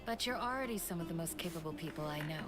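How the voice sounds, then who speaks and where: Gentle, earnest young game heroine over music. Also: female voice, low-pitched, expressive, quick pace. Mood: warm, reassuring. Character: gentle, caring young woman, earnest and reassuring. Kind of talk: video game voice acting. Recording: game audio under background music.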